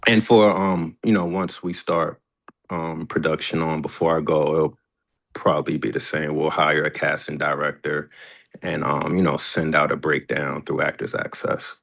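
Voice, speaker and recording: Deep, creaky-voiced man chatting casually. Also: flat delivery, normal speed, a bit bright. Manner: hesitant, searching for details, often repeating words. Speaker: filmmaker and screenwriter. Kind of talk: casual question-and-answer chat.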